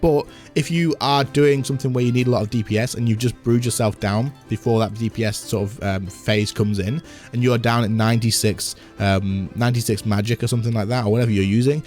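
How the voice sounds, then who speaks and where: A slightly hoarse man walks through a game tutorial in a rapid, chatty way, talking over what he shows on screen. His pitch sits at an ordinary middle level, and faint music plays quietly behind him. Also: fairly even intonation. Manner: chatty, explains while demonstrating on screen. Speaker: gaming content creator. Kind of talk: casual game tutorial walkthrough.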